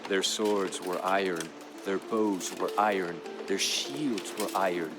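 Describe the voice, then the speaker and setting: A low-voiced, grave Aztec warrior narrates a historical strategy game. He speaks with measured solemnity, like a noble recounting the fate of his people. Music plays behind the voice. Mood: grave, foreboding. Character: grave Aztec jaguar warrior, royal nephew, recounting events. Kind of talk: scripted dramatic narration for a game.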